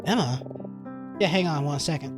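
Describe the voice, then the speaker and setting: Reluctant student sleuth read by a game player, casual and sheepish, music clearly behind. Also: medium pitch, normal speed, close to an everyday voice. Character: weary, reluctant student amateur detective. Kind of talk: reading visual novel dialogue aloud.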